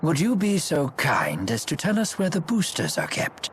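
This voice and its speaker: An actor playing a courteous, wise older male steward and strategist in a game, speaking formally and with measured care. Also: a little high, wildly swooping pitch, fairly bright tone, slight background noise. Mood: calm, wry. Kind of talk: English game dub acting. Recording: game soundtrack music behind the voice. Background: background music.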